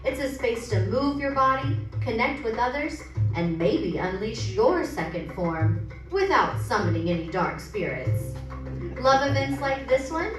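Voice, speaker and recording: Animated, high-voiced woman reading an advertisement. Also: unhurried. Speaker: advertisement reader. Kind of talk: playful scripted promotional read. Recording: echoey room, distant mic, music.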